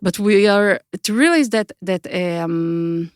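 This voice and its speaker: A woman's voice: mid-range voice, slow, clear, resonant tone, dry recording, clean studio sound. A middle-aged woman with an Israeli accent, a native Hebrew speaker, answering interview questions thoughtfully and searching for her words. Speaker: guest, author.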